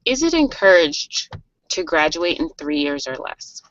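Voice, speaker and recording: A woman's voice: deep voice, sing-song, measured pace. A woman moderating a conference call and reading out questions. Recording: dull lo-fi conference call line.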